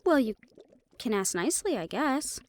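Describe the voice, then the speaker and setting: A fairly high-pitched female magic shop keeper, acted by a woman in a fantasy audio drama. She explains things helpfully and knowledgeably, with a light teasing edge. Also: very animated, moderate pace. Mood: playful teasing. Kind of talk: fantasy audio roleplay acting.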